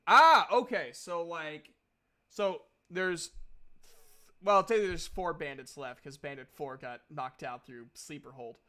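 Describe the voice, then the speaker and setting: A man's voice: fairly high pitch, unhurried, sharp tone. A man casually narrating a tabletop roleplaying game as the game master. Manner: casual, conversational.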